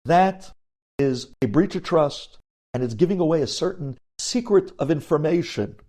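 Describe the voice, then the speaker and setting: A man's voice: on the high side, lively intonation, moderate pace, warm tone. A man lecturing as a rabbi in a sermon style, emphatic, repeating key phrases and mixing Hebrew terms into his English. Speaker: rabbi giving a religious lecture.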